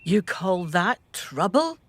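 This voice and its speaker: A woman's voice: lowish voice, big pitch swings, takes it slowly, a bit bright, dry recording, full character voice. A woman playing an imperious, bitter wife and mother in a radio drama, with a haughty, scornful delivery. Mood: indignant, haughty.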